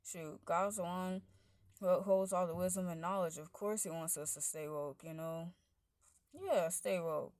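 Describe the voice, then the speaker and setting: A woman with a deep voice, rambling through a casual monologue, padding every phrase with "like" and "you know" while her pitch and loudness wander. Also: steady tone, measured pace, no room sound, clean studio sound.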